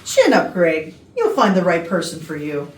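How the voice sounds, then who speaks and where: Animated, brusque ambitious career woman played by an actress. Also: fairly low pitch, moderate pace. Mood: knowing. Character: brusque, ambitious, scheming female executive. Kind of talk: staged reading of comedy dialogue. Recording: noisy roomy rehearsal, far from mic.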